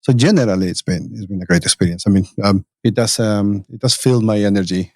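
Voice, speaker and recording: A man's voice: fairly low pitch, a little fast, no room sound, clean studio sound. A man from Spain with a Spanish accent, an agile coach and conference organizer talking as a podcast guest, thoughtful and full of restarts. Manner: thoughtful, many restarts and fillers. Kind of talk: conversational interview. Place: conference venue.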